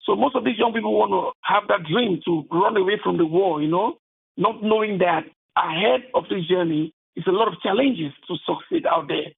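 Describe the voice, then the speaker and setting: A man with a Cameroonian accent speaks in a high, gravelly voice over a thin phone-like line. He is an author answering interview questions earnestly, in halting phrases peppered with "you know".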